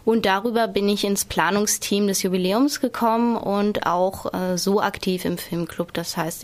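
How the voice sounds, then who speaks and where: A young woman as a radio interview guest, explaining things in an articulate, informative and orderly way. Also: mid-range voice. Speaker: interview guest, exhibition curator.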